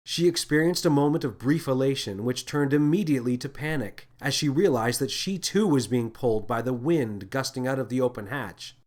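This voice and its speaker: A man reading scripted sci-fi fiction as an audiobook narrator, in a dramatic storytelling style. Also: mid-range voice. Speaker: author and narrator.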